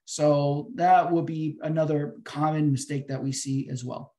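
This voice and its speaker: A man's voice: fairly high pitch, steady tone, a little slow, dark tone. A middle-aged man answering webinar questions as a financial advisor over a video call, explaining with frequent hesitations.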